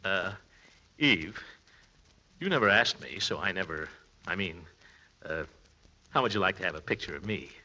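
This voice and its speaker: A man's voice: low voice, expressive, normal speed, long pauses, sharp tone, exaggerated voice. An actor playing a pompous, sheepish, overweight middle-aged uncle in 1940s radio comedy, hemming and chuckling as he blusters. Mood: sheepish, coaxing. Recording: muffled vintage radio recording.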